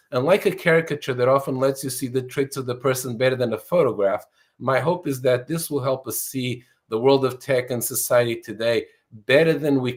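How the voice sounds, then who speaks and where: A man in his sixties from Portugal, an author answering interview questions in an earnest, explanatory way. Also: mid-range voice. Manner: earnest, explanatory, fluent with some restarts. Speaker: author and guest.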